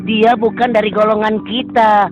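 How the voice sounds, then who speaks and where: A man's voice: high-pitched, level tone, moderate pace, lo-fi sound, music in the background, lightly acted. A man voicing a mocking, dismissive townsman in a dubbed cartoon.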